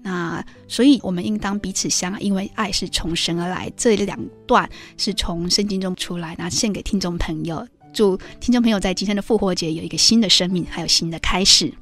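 A woman's voice: lowish voice, a little fast, few pauses, a bit bright, faint music behind. A woman hosting a devotional radio talk with a co-host, explaining things in an earnest way.

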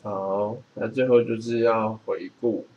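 A man's voice: fairly low pitch, steady tone, measured pace, a little mellow, highs cut off, slight background noise. A man, reading from a book and explaining it in a casual chat. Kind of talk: reading a book aloud and chatting about it.

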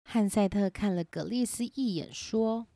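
Gentle woman telling a children's story. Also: medium pitch, measured pace, no echo, clean studio sound. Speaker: storyteller host. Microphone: simple home recording setup.

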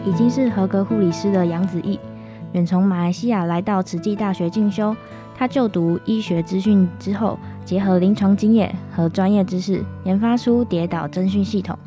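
A woman's voice: mid-range voice, moderate pace, mellow. A woman campus radio reporter with a Taiwanese Mandarin accent, reading a scripted news report. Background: music.

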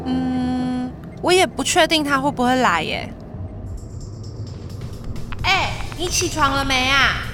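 Music plays behind a young Taiwanese woman's high, swooping voice. A woman acts out an excitable university student who chats and teases in casual Taiwanese Mandarin, in an audio drama. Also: takes it slowly, long pauses. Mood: anxious, annoyed. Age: young adult.

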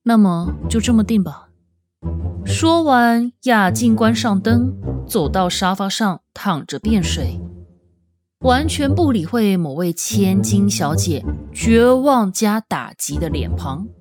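A woman narrating an audio novel in a dramatic, expressive storytelling style. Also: mid-range voice, slow. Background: music.

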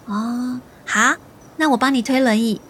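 A woman's voice: on the high side, steady tone, a little slow, fairly bright tone, a little hiss or hum. A woman playing a bubbly, teasing young friend in an audio drama, perky and playfully whiny. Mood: cheerful, teasing.

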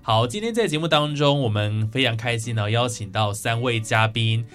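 A man's voice: mid-range voice, normal speed, fairly bright tone, soft background music. A man with a Taiwanese Mandarin accent hosting a radio interview. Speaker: radio host.

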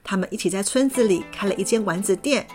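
A woman narrating a children's fairy tale in a lively, expressive way. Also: mid-range voice, fast talker. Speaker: storyteller. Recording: background music.

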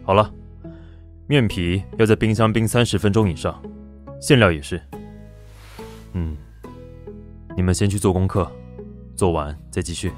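A sly pastry chef dad teases in a calm, very deep murmur. Music plays clearly under this audio drama. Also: male voice, a little slow, long pauses. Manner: calm, teasing, low murmur.

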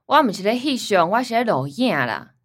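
An actress playing a perky young Taiwanese granddaughter who talks like a cheery video presenter, in a radio drama. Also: female voice, mid-range voice, very animated, moderate pace, no room sound, studio quality. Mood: patient, eager. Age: young adult.

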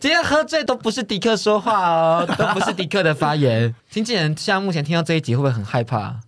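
A man with a Taiwanese Mandarin accent hosting a casual, bawdy interview, playful and candid, repeating words excitably. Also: sits high, quick pace, no room sound, clean studio sound. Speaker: podcast and radio host.

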